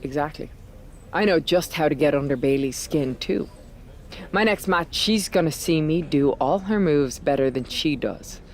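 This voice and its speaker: A woman's voice: deep voice, moderate pace, darkish tone, a little hiss or hum, lightly acted. A woman playing a brash, scheming veteran wrestler in a video game cutscene, talking in a persuasive, conversational way. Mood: confident.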